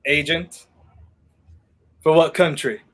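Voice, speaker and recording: A young man playing a flippant, skeptical gentleman in a 1930s radio thriller, wry and theatrical. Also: a little high, fairly even intonation, slow. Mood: amused, skeptical. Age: young adult. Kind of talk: 1930s radio play acting.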